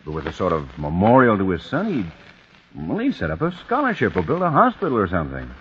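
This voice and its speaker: A man's voice: lowish voice, huge pitch swings, fast, a bit bright. An actor playing a skeptical married man who talks in a dry, doubtful way, in 1940s radio drama style. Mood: wary. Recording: muffled old radio broadcast with hiss.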